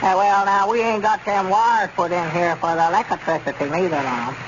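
An actor playing a simple, good-natured old country farmer with a rural Arkansas accent in a 1930s radio comedy. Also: male voice, sits high, steady tone, moderate pace, lightly acted. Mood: homely. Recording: noisy, muffled 1930s radio recording.

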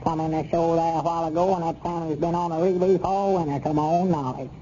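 A man's voice: on the high side, fairly even intonation, quick pace, dark tone, close to an everyday voice. An actor playing a gossipy, querulous older country man with a rural Southern accent and homespun talk in a 1930s radio comedy serial. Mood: grumbling. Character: grumbling, gossipy older country man, small-town theater partner. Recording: dull, noisy 1930s radio recording.

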